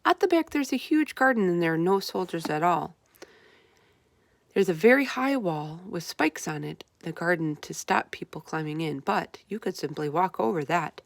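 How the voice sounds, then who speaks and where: A woman's voice: lowish voice, animated, normal speed, creaky, dry recording. Clever storybook orphan girl, played by a woman, assured and commanding, laying out orders firmly, dry sound. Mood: confident. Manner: firm, instructing, assured. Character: confident, bossy, clever little orphan girl. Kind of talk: storybook read-aloud character voice.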